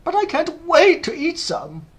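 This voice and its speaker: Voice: sits high, wildly swooping pitch, measured pace, a bit bright, pitched above the performer's own voice, heavily acted. Whooping, greedy fat aunt. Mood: greedy excitement. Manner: whooping, gushing, exclamatory. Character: fat, greedy, gushing middle-aged aunt, excitable.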